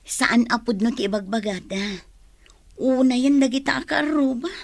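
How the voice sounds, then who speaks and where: A woman's voice: on the high side, moderate pace, no room sound. An actress playing an anguished, loyal young wife and mother in a radio drama, with a pleading, tearful delivery. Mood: defensive, evasive. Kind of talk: Ilocano radio drama acting.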